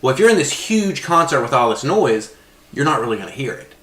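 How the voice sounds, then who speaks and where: A man's voice: medium pitch, big pitch swings, fast, a bit bright. A man on a podcast panel, casually explaining his ideas with everyday comparisons. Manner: explains through everyday analogies. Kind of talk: casual film discussion. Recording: roomy, echoing sound.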